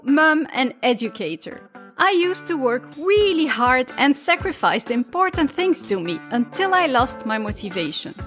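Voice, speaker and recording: A woman with a high, bright-pitched voice hosts a podcast, delivering a polished, upbeat scripted intro before putting direct questions to her guest. Faint music plays softly behind her. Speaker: podcast host.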